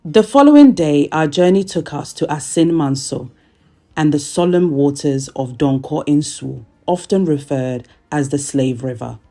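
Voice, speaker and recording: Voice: mid-range voice, expressive, unhurried, mellow, faint music behind. A narrator delivering formal, solemn scripted narration for a travel documentary.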